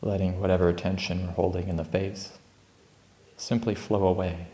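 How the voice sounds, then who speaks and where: A man with a deep voice guides a meditation in gentle, calm instructions. His delivery is even, barely rising or falling, with plenty of space between phrases. The sound is roomy and echoing. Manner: calm, unhurried, gentle instruction with long pauses. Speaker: meditation teacher at a Buddhist monastery. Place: indoor room at a monastery.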